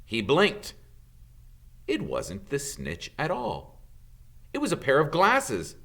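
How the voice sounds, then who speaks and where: A man's voice: fairly high pitch, wildly swooping pitch, takes it slowly, fairly bright tone. A man reading a storybook aloud as a dramatic narrator. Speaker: audiobook reader.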